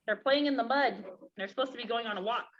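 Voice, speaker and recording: A woman's voice: a little high, quick pace, highs cut off. A woman reading a story aloud to young children and talking with them about it.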